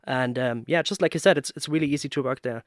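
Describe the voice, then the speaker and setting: A man's voice: medium pitch, quick pace, dry recording, studio quality. A man hosting a casual interview, chatting in an informal, agreeable and talkative way. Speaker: podcast host and sound professional.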